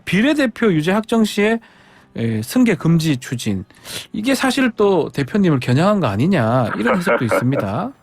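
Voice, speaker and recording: A man with a fairly high, very animated voice hosts a radio interview, firing off quick follow-up questions between short murmured acknowledgments. The sound is close and dry, with no room around it. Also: slightly rough, no room sound, soft background music. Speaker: radio host, lawyer.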